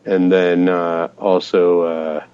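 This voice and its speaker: A very deep-voiced man calls in from outdoors in the cold, sniffly and hesitant, full of ums and polite apologies. The line sounds dull and lo-fi. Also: flat delivery, slow, darkish tone, smooth. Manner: hesitant, filler-heavy, polite. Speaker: caller. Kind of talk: casual phone-in question.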